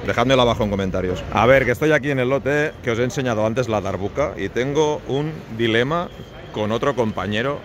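A man's voice: medium pitch, brisk. A man in his forties from Spain, chatting casually to camera as he shows items at a covered flea market, often asking his viewers questions. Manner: chatty, asks viewers questions, jokes about his English. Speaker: vlogger, flea market dealer. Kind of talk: casual vlog chat to camera. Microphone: phone at arm's length. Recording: noisy open-air market background.

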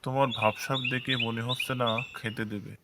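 A man playing a teasing village husband who is loyal to his ancestral home, in an animated folk tale. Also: mid-range voice, fairly quick, very noisy, lightly acted. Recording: loud music behind the voice.

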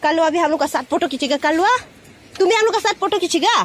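A woman's voice: very high-pitched, lively intonation, quick pace, a little hiss or hum. A young woman narrating a casual vlog from a rocky riverbank outdoors, chatting along as she films on a handheld phone. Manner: chatty, mixes in English words. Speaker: vlogger. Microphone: handheld phone camera.

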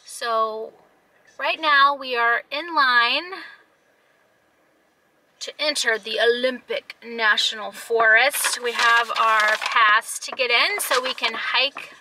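A woman's voice: a little high, slow, sharp tone, a little hiss or hum, rough recording. A woman in her thirties hosting a casual family travel vlog, talking to a camera held at arm's length. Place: inside a car and outdoors. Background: music.

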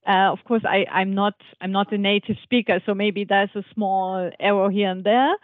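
A woman's voice: mid-range voice, fairly even intonation, normal speed, dry recording. A woman on a video call sharing her experience, hesitant, with frequent restarts. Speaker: meeting participant. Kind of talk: casual discussion on a video call. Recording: dry, phone-like call audio.

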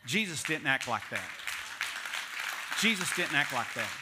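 A middle-aged male pastor preaching a sermon on a church stage, animated, swinging between loud emphasis and hushed asides. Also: mid-range voice, unhurried, a loud crowd, heavy background noise.

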